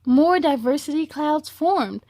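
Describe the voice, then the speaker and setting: A woman's voice: sits high, lively intonation, unhurried, a little mellow, dry recording, clean studio sound. A woman hosting a storytime, reading a children's book aloud expressively with chatty, encouraging asides.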